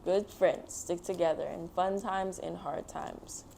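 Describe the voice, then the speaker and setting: A woman's voice: fairly low pitch, fairly even intonation, a little slow, creaky, dark tone, a little hiss or hum, rough recording. A woman hosting a children's read-aloud, explaining things simply and encouragingly to young listeners. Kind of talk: picture book read-aloud and talk to children.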